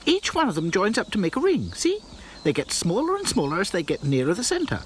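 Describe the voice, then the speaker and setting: A man voicing a clever, bossy girl rag doll who speaks briskly and surely. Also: high-pitched, wildly swooping pitch, slight background noise, pitched above the performer's own voice. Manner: brisk and sure of herself.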